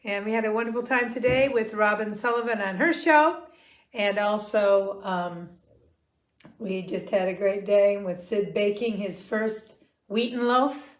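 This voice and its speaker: A woman's voice: mid-range voice, measured pace. A middle-aged woman hosting a casual family livestream, chatty and upbeat. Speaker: host. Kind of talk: casual chat.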